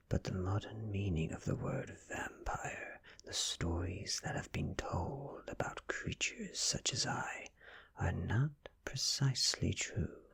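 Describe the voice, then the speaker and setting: A British man reading a story aloud in a soft, breathy ASMR whisper, with hushed, deliberate phrasing and pauses. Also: low voice. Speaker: audiobook-style ASMR reader.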